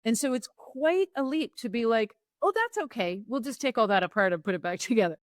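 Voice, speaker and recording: A woman's voice: on the high side, lively intonation, brisk, no room sound, clean studio sound. A woman guest on an interview podcast, a sustainability consultant explaining her ideas step by step in a reflective, conversational way.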